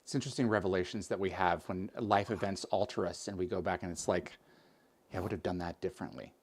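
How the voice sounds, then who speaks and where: A man's voice: low-pitched, quick pace. A man hosting an interview, earnest and expansive, asking long winding questions. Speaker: interview host.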